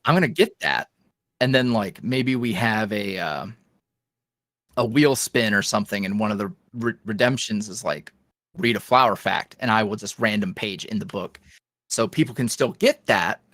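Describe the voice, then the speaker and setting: A man's voice: on the low side, normal speed, a bit bright, no echo, studio quality. A man talking casually as a podcast guest, rambling and self-deprecating, with lots of fillers. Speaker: podcast guest, ASMR roleplay creator. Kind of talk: casual interview chat.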